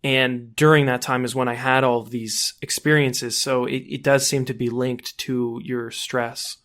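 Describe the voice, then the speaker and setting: A man co-hosting a podcast, chatting casually in a halting way and often repeating words as he goes. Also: medium pitch, level tone, fairly bright tone. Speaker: podcast co-host. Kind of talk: casual chat.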